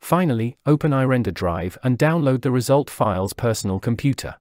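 Steady male tutorial narrator, dry sound. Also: mid-range voice, fairly quick, no echo. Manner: steady, instructional, step-by-step delivery. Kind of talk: scripted software walkthrough voice-over. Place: voice-over over screen recording.

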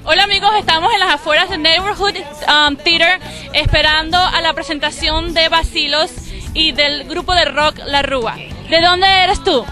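A woman's voice: very high voice, steady tone, moderate pace, bright, forceful tone. A young woman reporter doing upbeat street interviews outdoors at a night concert, speaking into a handheld mic. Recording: music and crowd behind.

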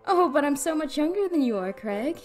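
An actress playing a sweet, cutesy young secretary in love, cooing in a retro radio drama. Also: female voice, high-pitched, lively intonation, fairly quick, faint music behind. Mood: coy, cheerful. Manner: cutesy, baby-talk rhymes, cooing.

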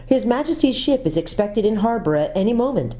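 A woman's voice: a little high, very animated, brisk, mellow, pitched above the performer's own voice. A woman voicing a polite, eager young male fawn who talks in a chatty, earnest way.

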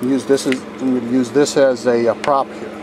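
A man's voice: mid-range voice, little pitch movement, moderate pace, noisy background. A man giving a casual how-to demonstration inside a motor home, talking in a folksy, plainspoken way with fillers and restarts, recorded on a handheld camcorder. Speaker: DIY video maker.

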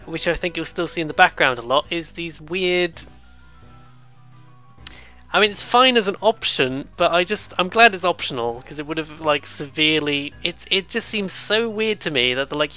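A bright, forceful voice at a middling pitch gives casual let's play commentary over music. The delivery is rambling and excitable, drifting between reading game text aloud and jokey asides. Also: moderate pace. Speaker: let's player.

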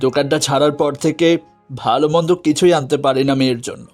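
A fairly high male cartoon voice from an actor: a poor village father, honest and gentle. He sounds weary but principled, with soft music behind. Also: fairly even intonation, fast, natural voice. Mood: sorrowful. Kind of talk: cartoon fairy tale voice acting.

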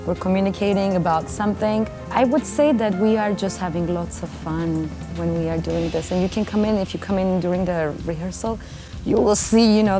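Low-voiced Armenian-accented woman over music. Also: warm tone. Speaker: cellist and vocalist. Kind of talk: interview testimonial. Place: indoor backstage area, seated.

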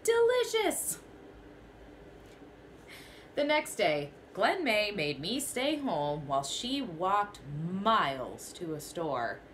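A woman's voice: mid-range voice, big pitch swings, slow, slight background noise. A young woman teacher reading a children's picture book aloud in a small bedroom, telling it expressively in the first person.

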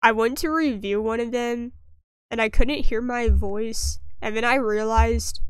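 High-voiced, sniffly young woman gamer. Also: steady tone, a little slow, smooth, no room sound. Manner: rambling, self-deprecating, dry humour. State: sniffly, getting over a cold. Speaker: gaming video creator. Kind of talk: casual gameplay commentary.

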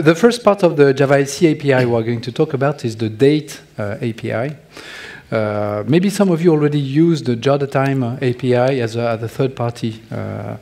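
A middle-aged man with a French accent walks through code at a conference, his voice mellow and low-key. He talks in halting bursts, restarting phrases and filling gaps with small hesitations. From France. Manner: halting, many restarts and fillers, joking asides. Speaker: conference speaker. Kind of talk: technical conference talk. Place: large conference hall stage.

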